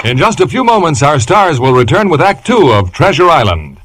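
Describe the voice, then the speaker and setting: A man's voice: on the high side, sing-song, fairly quick, fairly bright tone, a little hiss or hum. An American man announcing and selling a product in 1940s radio advertising style.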